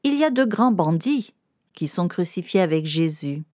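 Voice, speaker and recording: A woman's voice: fairly low pitch, very animated, normal speed, a little mellow, no echo, studio quality. A woman narrating a scripted lesson for children, explaining simply and directly as if to one child.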